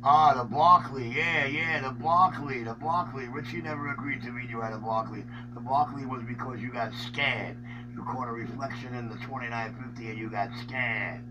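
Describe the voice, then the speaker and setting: A man's voice: medium pitch, fairly even intonation, normal speed, over music. A man in casual, argumentative banter over a radio transmission, mocking and repeating himself in street talk. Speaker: radio operator chatting on an open channel. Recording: noisy distant radio transmission with hum.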